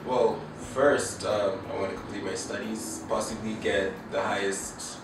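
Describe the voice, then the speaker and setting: A young man from Uganda, an international student, giving measured, somewhat hesitant interview answers. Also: fairly low pitch, steady tone, distant mic, loud music behind the voice. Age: young adult. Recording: echoey room, heard from afar with noise.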